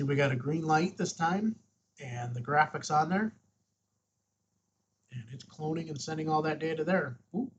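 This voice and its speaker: A man with a husky, rough-edged voice presenting a casual step-by-step tutorial, informal and thinking aloud as he goes. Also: mid-range voice, dull audio, slight background noise. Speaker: host, ham radio tutorial presenter.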